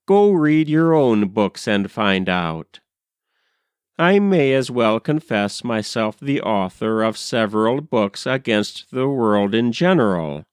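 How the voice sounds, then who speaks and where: A man reads poetry aloud in a smooth, clean voice with a lively, varied melody. He phrases each line deliberately, leaving pauses as he goes, and takes his time throughout. The sound is close and dry. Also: mid-range voice, dry recording. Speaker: poetry reader. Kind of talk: literary poetry recitation.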